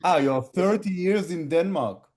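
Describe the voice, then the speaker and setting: A man's voice: sits high, a little slow, darkish tone. A man asking hesitant, stammering questions on a video call. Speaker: meeting participant.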